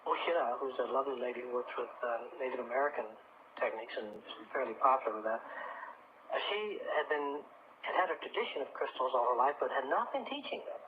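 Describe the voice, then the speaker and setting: A middle-aged man being interviewed on a radio show, telling his story in a halting, reflective, conversational way. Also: medium pitch, lively intonation. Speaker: radio guest, crystal healing teacher. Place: radio talk show broadcast. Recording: muffled, noisy 1980s radio broadcast, phone-like.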